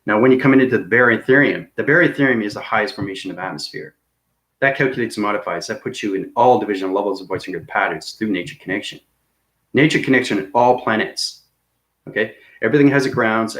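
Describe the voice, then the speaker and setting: Rambling low-voiced man talking space. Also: a bit bright, low-quality recording. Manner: rambling, repetitive, laughs at himself. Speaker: video host. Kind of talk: rambling cosmic monologue to viewers.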